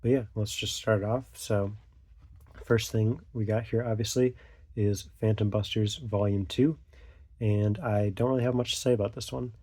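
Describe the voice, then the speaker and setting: A man's voice: lowish voice, steady tone, normal speed, dark tone, breathy edge. A young man hosting a casual unscripted vlog, a little stuffy from a cold, talking hesitantly with lots of fillers and half-finished thoughts. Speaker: channel host.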